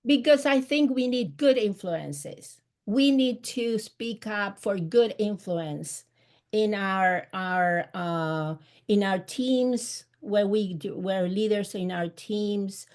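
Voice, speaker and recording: A middle-aged woman with an Argentine Spanish accent who talks at an unhurried, deliberate pace. Her pitch sits in an ordinary middle range. She answers interview questions earnestly, stopping often to search for the right word. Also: darkish tone. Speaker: author guest. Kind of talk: live video chat interview.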